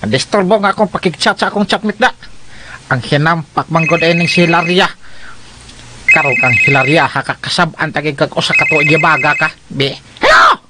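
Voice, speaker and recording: A man's voice: on the high side, big pitch swings, fairly quick, very noisy. A man playing a vain, irritable young country fellow who squawks and scolds in a comic radio drama. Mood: irritated, grumbling.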